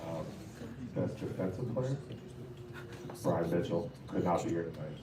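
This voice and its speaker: A man with a very low voice hands out team awards, talking plainly with fillers. He is far from the mic in an echoey hall. Loud noise fills the background the whole time. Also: expressive, measured pace. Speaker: basketball coach. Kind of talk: awards presentation. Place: large banquet hall.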